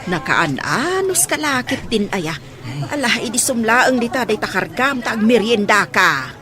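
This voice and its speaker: Casting: actress playing bossy, kind-hearted store-owner aunt, high and very animated, radio drama with crowd bustle behind. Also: female voice, normal speed. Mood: kindly, coaxing. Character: bossy, warm-hearted market shopkeeper aunt. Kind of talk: Ilocano radio drama acting.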